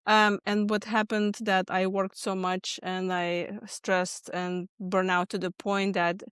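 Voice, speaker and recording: A woman from Lithuania with a Lithuanian accent, a guest speaking earnestly about stress and often pausing with ums and restarts. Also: mid-range voice, level tone, a bit bright, clean tone, no room sound, studio quality. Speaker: podcast guest, stress management expert. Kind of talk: conversational interview answers.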